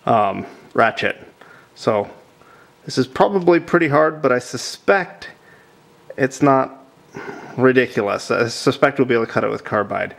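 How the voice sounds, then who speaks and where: A middle-aged man casually explaining his work in an informal, hesitant, self-deprecating way, recorded in an indoor workshop. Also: medium pitch. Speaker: maker presenting a workshop build. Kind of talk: casual explanation while working. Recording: faint workshop background noise.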